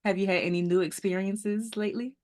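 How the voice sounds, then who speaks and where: A woman's voice: medium pitch, steady tone, fairly quick, darkish tone. A woman hosting a beauty podcast, upbeat and enthusiastic, chatting casually with her guest and laughing often. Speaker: podcast host. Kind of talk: casual interview chat.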